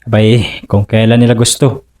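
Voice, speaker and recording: A man's voice: fairly low pitch, level tone, moderate pace, a little mellow, natural voice. An actor playing a proud, jealous fisherman husband and father in a radio drama, stubborn and defensive. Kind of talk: broadcast radio drama acting.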